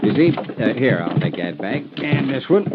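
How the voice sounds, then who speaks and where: An actor playing a pushy, fast-talking American insurance boss in a 1950s radio drama, glib, wheedling and steamrolling. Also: male voice, medium pitch, very animated, over loud music, lightly acted. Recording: tinny, narrow-band vintage radio recording.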